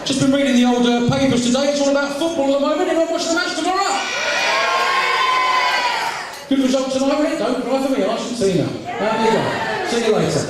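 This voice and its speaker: A fast-talking comedian working a crowded function room, his fairly high voice coming from some way off the mic. The hall rings with heavy echo, and a lively audience murmurs and laughs behind him. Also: very noisy. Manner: rapid-fire joke telling, voices characters in jokes. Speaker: stand-up comedian hosting a charity fundraiser. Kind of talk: stand-up comedy routine. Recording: distant, very echoey hall with crowd.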